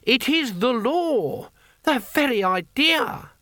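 Shrill, indignant old wizard. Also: male voice, animated, moderate pace, sharp tone, no room sound, studio quality. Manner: clipped, pedantic, over-precise enunciation. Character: indignant, fragile, dry elderly male wizard law lecturer.

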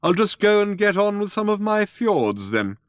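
A courteous old gentleman, proud and easily wounded , voiced by a male narrator. He speaks in a raised pitch with animated swings, in a storybook manner. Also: normal speed, smooth, dry recording. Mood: flustered. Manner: polite, hesitant, indignant when crossed. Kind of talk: audiobook character voice.

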